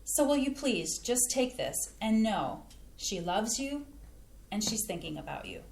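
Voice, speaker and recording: A woman's voice: a little high, very animated, a little slow, vocal fry, darkish tone, exaggerated voice. A woman acting a sincere, homespun mother and home cook in a scripted staged play reading. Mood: tender, earnest. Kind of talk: scripted community theatre acting. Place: indoor playhouse staged reading. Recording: echoey room with background noise.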